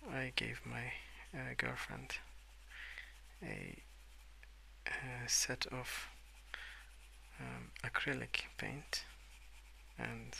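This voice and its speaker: A man speaking in a soft, hushed ASMR ramble, drifting between murmur and whisper in a hesitant, unhurried way. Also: medium pitch, vocal fry, slight background noise, rough recording. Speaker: host of an ASMR colouring channel. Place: indoors at a wooden desk.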